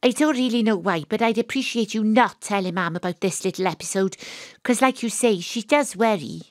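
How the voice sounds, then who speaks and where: An actress playing a troubled, weary woman private detective with a dramatic, halting delivery in an audio drama. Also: mid-range voice, no echo, clean studio sound, natural voice. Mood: weary, evasive.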